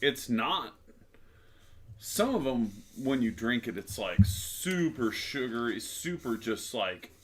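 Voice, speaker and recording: Guy reviewing beer, really up and down voice, thinking out loud, bit of noise. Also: medium pitch, very animated, slow. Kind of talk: casual beer-tasting chat.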